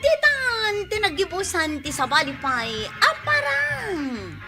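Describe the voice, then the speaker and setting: A woman's voice: extremely high pitch, big pitch swings, slow pace. A woman with an Ilocano accent announcing a radio drama in a dramatic scripted style, with music behind her.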